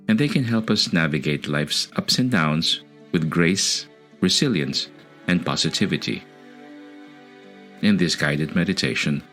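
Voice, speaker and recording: A man guides a meditation in an extremely low voice. He speaks slowly and deliberately, leaving pauses between phrases. Soft music sits behind him. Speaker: meditation podcast host. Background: music under the voice.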